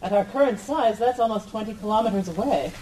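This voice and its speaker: A woman playing a brisk, commanding young heroine in a comic radio play, with a wry, take-charge manner. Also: mid-range voice, warm tone, slight background noise, close to an everyday voice, rough recording.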